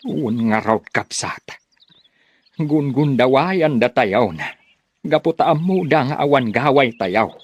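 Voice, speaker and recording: A man plays a resigned older farmer in a radio drama. He speaks slowly and with sighs, in a husky voice, close and worn down. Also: medium pitch, animated, dry recording. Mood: dismayed. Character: weary, resigned older village farmer, brother and father. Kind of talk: radio drama acting in Ilocano.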